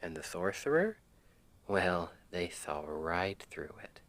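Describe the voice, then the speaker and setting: A man playing a tender, playful boyfriend in an ASMR roleplay, speaking in a gentle, intimate half-whisper to soothe his partner to sleep. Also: deep voice, sing-song, slow pace, full character voice. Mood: soft, playful storytelling. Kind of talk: ASMR roleplay, soft bedtime storytelling.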